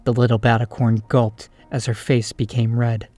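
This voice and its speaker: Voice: mid-range voice, little pitch movement, normal speed, warm tone, dry recording. A narrator reading scripted storybook prose for an audio drama, over music.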